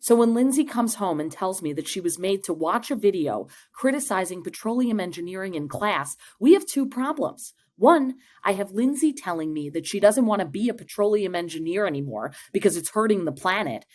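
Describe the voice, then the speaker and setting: A woman's voice: medium pitch, lively intonation, normal speed, hardly any pauses, a little mellow, lightly acted. A woman acting as an assertive, protective small-town mother, pointed and persuasive, in a scripted podcast drama. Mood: indignant, insistent.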